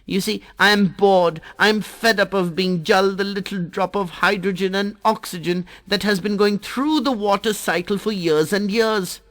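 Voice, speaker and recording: A man's voice: high-pitched, moderate pace, hardly any pauses, a bit bright, voice pushed up. A man voicing a restless, bored little boy who is a drop of water, talking in a whiny, pleading way.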